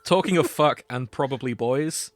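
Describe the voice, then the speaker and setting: A man's voice: mid-range voice, normal speed, no room sound. A man hosting a podcast with casual, comedic banter. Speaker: podcast host.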